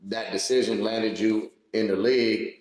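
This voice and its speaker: A man's voice: on the low side, steady tone, moderate pace. A man hosting a podcast in casual chat, affirming his guest and restating what he means. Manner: affirming, sums up and rephrases the guest. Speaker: podcast host. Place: podcast studio room. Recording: roomy studio echo.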